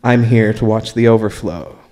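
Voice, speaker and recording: An American man reading his own writing aloud at a live open mic, in a deliberate, measured way with pauses between short phrases. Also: on the low side, a little mellow. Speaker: spoken word performer reading own writing. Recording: live recording in a reverberant room.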